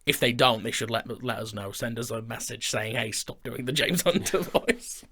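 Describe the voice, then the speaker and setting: Casual British male podcaster, clear-cut bright tone, middle register, conversational delivery. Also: medium pitch, expressive, normal speed. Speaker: audio drama writer and actor in a podcast discussion. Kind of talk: casual chat. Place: indoor studio.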